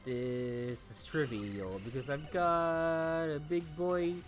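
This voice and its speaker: A man giving joking gameplay commentary, animated and peppered with "like". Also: fairly high pitch, takes it slowly, noisy background. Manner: animated, joking, heavy use of "like". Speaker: let's play host.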